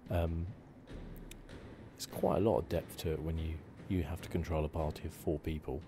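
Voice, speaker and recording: A man hosting an ASMR gaming video, giving hushed, relaxed, conversational commentary. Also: a bass voice, normal speed. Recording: game sounds noisy behind voice. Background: video game audio.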